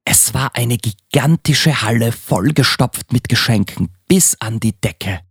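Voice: mid-range voice, a little slow, breathy edge, no echo, studio quality. A narrator reading scripted storybook narration for a Christmas radio play.

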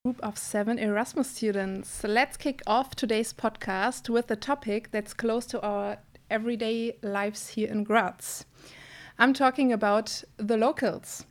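A woman of twenty-five from Germany, a student teacher who co-hosts a podcast with a clear, measured delivery. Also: a little high, fairly even intonation. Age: 20s. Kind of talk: reading a podcast script.

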